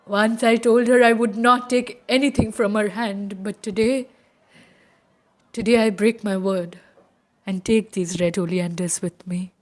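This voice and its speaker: A devoted rebel singer played by a woman, wistful in temper. The mid-pitched voice carries the theatrical delivery of an audio drama. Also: steady tone, measured pace, lightly acted. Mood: grieving. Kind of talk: theatrical stage-play acting in an audio drama.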